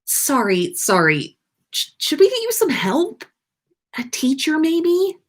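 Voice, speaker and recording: A woman reads as an awkward teenage wizard boy in a storybook. He is apologetic yet amused, hesitant in his wording, with a lively, raised pitch. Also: takes it slowly, full character voice. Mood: stifled amusement. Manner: hesitant, stifling laughter. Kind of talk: animated fan fiction read-aloud.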